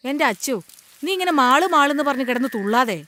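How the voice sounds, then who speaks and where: A weary, practical mother who speaks rapidly and chides as she goes. Her voice is fairly high, with faint noise behind it, in a naturalistic film drama. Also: lively intonation, pushed and bright. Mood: nagging. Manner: chiding, matter-of-fact.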